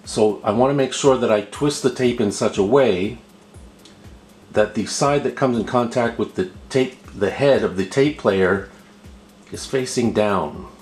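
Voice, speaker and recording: A man's voice: lowish voice, measured pace, a little mellow, faint music behind. Echoey man giving how-to instructions. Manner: patient, explanatory, repeats himself. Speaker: host of a how-to video. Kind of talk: step-by-step tutorial narration, unscripted. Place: indoor room at a table. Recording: roomy, echoing indoor voice recording.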